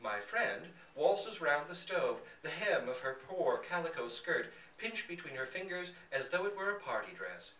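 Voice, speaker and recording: Animated man reading a story, roomy sound. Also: fairly high pitch, moderate pace, hardly any pauses. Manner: theatrical, dramatic emphasis. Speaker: reader at a live literary reading. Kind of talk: expressive literary narration. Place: indoor public reading in a bookstore. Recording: roomy, distant mic, faint background noise.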